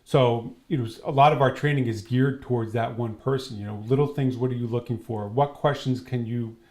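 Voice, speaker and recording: A man's voice: medium pitch, fairly even intonation, a little fast, a little mellow. A man speaking as a security trainer in an interview, explaining conversationally and illustrating points with quoted dialogue.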